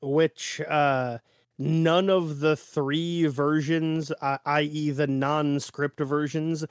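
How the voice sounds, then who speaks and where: A man's voice: on the high side, flat delivery, very slow, smooth, no room sound, studio quality. A man co-hosting a podcast, talking casually and analytically, stressing his points. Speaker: podcast host. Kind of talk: casual analytical discussion chat.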